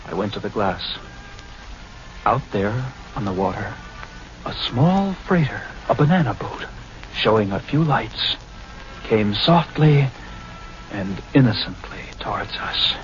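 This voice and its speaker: Through a dull vintage radio recording with prominent music underneath comes a haunted lighthouse keeper. He tells his horror tale slowly and broodingly, letting dramatic pauses build the suspense in classic radio-play style. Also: lowish voice, expressive. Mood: hushed suspense. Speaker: actor narrating in character. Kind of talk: old-time radio horror monologue. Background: prominent ambient music.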